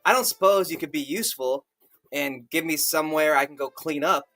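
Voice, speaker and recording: A man's voice: fairly high pitch, brisk, pushed and bright, faint music behind. A man playing a small, boyish adult adventurer, indignant and panicky, who rambles and protests crudely. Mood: sarcastic.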